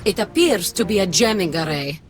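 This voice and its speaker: A woman's voice: on the low side, lively intonation, fairly quick, faint music behind, lightly acted. A woman playing a disciplined military mech commander in a video game, giving formal, clipped radio reports. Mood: businesslike, focused.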